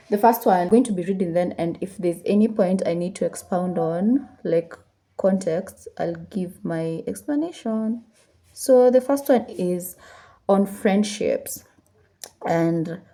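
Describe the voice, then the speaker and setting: Young woman, lifestyle vlogger, rambling chatty talk, warm soft tone, indoors at home. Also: mid-range voice. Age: 20s. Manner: casual, rambling, mixes in Swahili phrases. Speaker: lifestyle YouTuber. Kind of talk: chatty get-ready-with-me talk while doing makeup.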